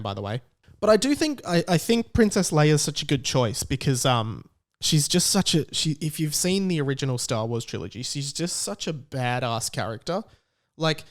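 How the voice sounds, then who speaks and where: A man with an Australian accent and a medium-pitched voice talks casually, like a podcast host alone at the mic. He retells things in an animated, conversational way, slipping into quoted dialogue as he goes. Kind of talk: casual solo storytelling.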